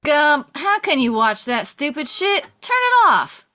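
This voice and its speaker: A woman's voice: high voice, big pitch swings, unhurried, sharp tone, pitched above the performer's own voice, heavily acted. A woman playing a brash, bossy wounded American soldier man in a table read, with a blunt, commanding manner. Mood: irritated.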